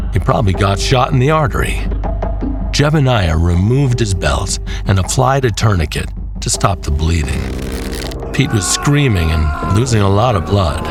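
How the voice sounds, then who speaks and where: A man narrating a scripted horror western tale in a measured, deliberate way, with music behind him. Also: deep voice.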